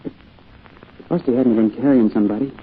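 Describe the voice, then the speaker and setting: An American actor playing a young orphaned sailor, earnest, shy and polite, speaking hesitantly in 1940s radio drama style. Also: male voice, mid-range voice, fast talker, husky, lightly acted. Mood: subdued, grieving. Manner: hesitant, humble, folksy. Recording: tinny, noisy old radio broadcast.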